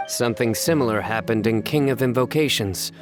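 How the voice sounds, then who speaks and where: A man voicing a solemn, earnest young competitor in a video game, speaking with grave deadpan. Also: mid-range voice, a little fast, music in the background, close to an everyday voice. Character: solemn, earnest young male competitor quoting his favorite works.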